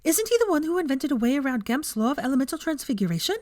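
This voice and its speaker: Woman voicing an eager high-pitched studious schoolgirl. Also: fast, no room sound, studio quality. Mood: excited, eager. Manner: excitable, formal, bookish. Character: eager, studious young schoolgirl, breathlessly curious. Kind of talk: audiobook character voice.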